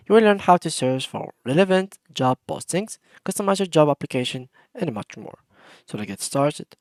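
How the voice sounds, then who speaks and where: A man with a Moroccan accent hosts an informal tutorial in a mid-pitched voice. He explains things step by step in a conversational way, sometimes hesitant and repeating himself. The sound is dry, with very little room in it. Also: no echo. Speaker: tutorial host. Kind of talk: casual screen-share tutorial.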